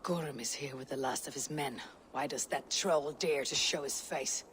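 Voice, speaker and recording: Low, husky, proud Viking warrior woman. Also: moderate pace, a bit bright, a little hiss or hum. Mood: brooding anger. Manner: terse, blunt, brooding. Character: proud, vengeful young female Viking warrior. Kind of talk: dramatic video game acting.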